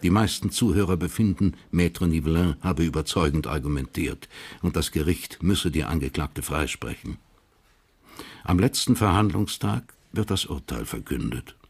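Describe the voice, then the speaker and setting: A man narrating a period crime radio drama in a sober, measured, literary style. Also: a bass voice, a little mellow, breathy edge.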